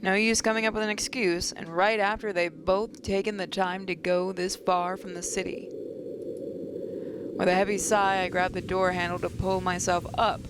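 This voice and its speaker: A woman's voice: fairly low pitch, flat delivery, moderate pace, background noise. A woman hosting a gaming video, reading a visual novel aloud with asides and occasional stumbles. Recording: voice over game music.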